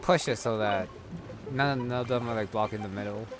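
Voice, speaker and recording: Low-key male gamer over loud music. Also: brisk. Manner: low-key, thinking aloud. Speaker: gamer, playthrough host. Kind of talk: casual game commentary. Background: loud game music.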